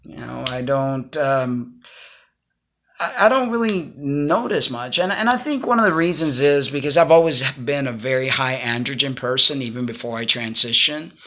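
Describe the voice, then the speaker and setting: A man's voice: medium pitch, lively intonation, normal speed, bright, forceful tone, slight background noise. A man in his fifties talking casually to camera in his living room, rambling and earnest as he gives advice. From Cuba. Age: 50s. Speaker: vlogger. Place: small home living room, indoors. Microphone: phone or laptop camera at arm's length.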